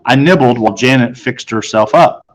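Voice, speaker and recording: A man's voice: medium pitch, moderate pace. A man narrating a children's novel aloud, as a storybook read-aloud.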